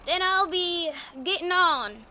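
A woman's voice: very high voice, takes it slowly, noisy background. A woman playing a folksy, gossipy, cautious small-town sheriff in an old-time radio drama, with a rustic, hesitant manner. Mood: folksy, wary.

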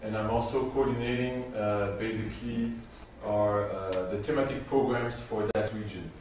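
Middle-aged male official, lowish pitch, hesitant and measured, heard at a distance from the mic. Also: fairly even intonation, darkish tone. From Belgium. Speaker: European Commission official on a panel. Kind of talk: self-introduction and questions on a panel. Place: small seminar room with audience. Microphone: distant camera microphone. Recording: very echoey room, far mic, faint noise.

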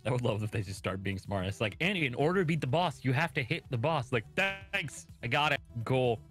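Lively, jokey game stream companion. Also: mid-range voice, brisk, breathy edge, faint music behind. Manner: jokey, slips into mock quotes. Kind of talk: casual joking chat during a game stream.

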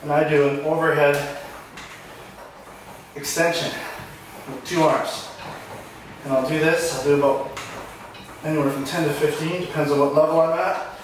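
A man in his forties talks through his workout in a small home gym, a little breathless as he moves. His voice sits on the high side for a man. The camera is across the room, so he sounds distant, with a strong echo and steady background noise. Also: moderate pace, pauses often. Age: 40s. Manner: talks while exercising, casual and a bit breathless. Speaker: fitness vlogger. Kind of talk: casual workout demonstration. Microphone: camera mic from across the room.

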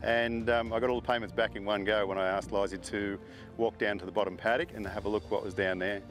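Man, middle-aged, lowish voice, relaxed, many ums and restarts. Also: fast, faint music behind. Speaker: horse farm owner. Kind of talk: interview, casual storytelling.

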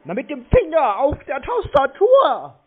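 A man's voice: very high voice, huge pitch swings, unhurried. A man baiting callers in a mocking prank phone call, sarcastic and provoking. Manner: sarcastic, provoking, putting on voices. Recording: narrow phone-like sound.

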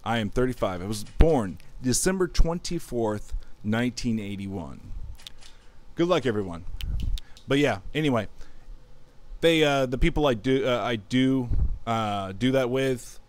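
A man's voice: mid-range voice, lively intonation, measured pace. A man in his thirties chatting casually and rambling with self-mocking humor while he streams a game. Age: 30s. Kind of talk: casual gaming livestream chat.

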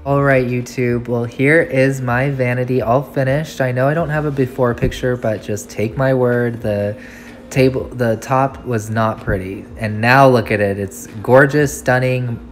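A woman's voice: very deep voice, fairly even intonation, unhurried, a little hiss or hum. A woman narrating a casual shopping haul vlog as a nail art YouTuber, gushing with enthusiasm as she shows her finds in a store and at her home desk.

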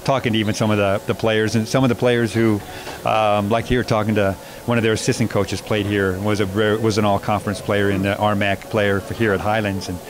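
A man coaching basketball, answering postgame interview questions in a measured way with many fillers and restarts. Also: fairly low pitch, level tone. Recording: noisy background.

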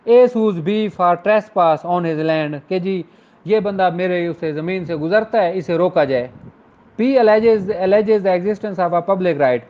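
A man with a Pakistani accent giving an informal, explanatory lecture as a law teacher. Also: sits high. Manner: explanatory, switches between Urdu and slowly read English. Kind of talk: informal lecture, reading out and explaining legal articles. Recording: dull lo-fi recording.

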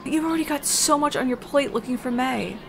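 A woman plays a superhero's young wife in a comic dub. She sounds earnest, hesitant and apologetic, in a fairly high voice. Outdoor ambience is audible behind her. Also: fairly quick, vocal fry, a bit bright, a little hiss or hum. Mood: worried.

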